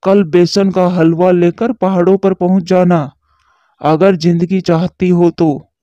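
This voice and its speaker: A scheming male crow villain from a cartoon, voiced by a man, issuing slow, menacing threats in a high, mellow voice. The sound is dull and lo-fi. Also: flat delivery, no room sound.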